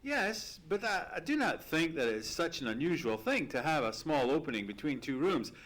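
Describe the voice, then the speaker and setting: A loyal, genteel gentleman companion played by an actor in an old-time radio mystery. The voice is mid-pitched with lively swings. It is formal, earnest and a little hesitant. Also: normal speed. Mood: earnest, puzzled. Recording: live stage radio play recording.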